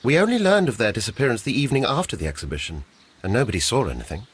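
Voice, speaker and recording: An actor playing a curt, bossy deputy director of botanic gardens in a video game, officious and formal. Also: male voice, mid-range voice, sing-song, very fast, breathy edge. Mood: matter-of-fact.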